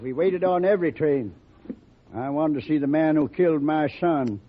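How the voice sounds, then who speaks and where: A man's voice: fairly high pitch, normal speed, natural voice. A man playing a grave, grieving old father in an old radio western. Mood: grim, grieving. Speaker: radio drama actor. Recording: muffled, very noisy, echoey radio recording.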